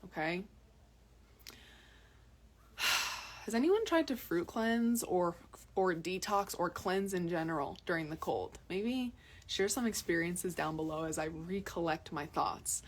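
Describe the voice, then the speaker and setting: A woman's voice: lowish voice, animated, a little slow, a little hiss or hum. Chatty woman talking outdoors in the cold. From Massachusetts. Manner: chatty, repeats words for stress. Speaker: health vlogger. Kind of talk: casual live talk to viewers.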